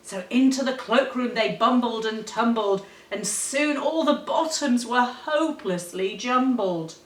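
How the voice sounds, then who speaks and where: A woman reading a rhyming children's story aloud in an expressive, dramatic, deliberate storytelling manner. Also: fairly high pitch, slight background noise. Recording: roomy, echoey, distant microphone.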